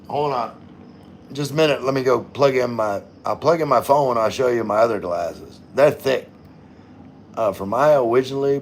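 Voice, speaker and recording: A middle-aged man with a mid-range voice talks at a leisurely, slow pace. He chats informally as a livestream host, reading comments and replying in a loose, rambling way. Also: mid-range voice, a little hiss or hum. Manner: rambling, informal, occasionally swearing. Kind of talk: casual livestream chat, reading and answering viewer comments.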